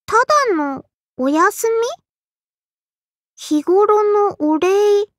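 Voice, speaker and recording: Little sparrow girl, very high childlike voice, lisping, unhurried, prim and dutiful, anime game character, dry studio sound. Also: expressive, no room sound, heavily acted. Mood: flustered, surprised. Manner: formal yet cutesy, chirping verbal tics. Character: small sparrow girl, strict, dutiful, kindly hell warden.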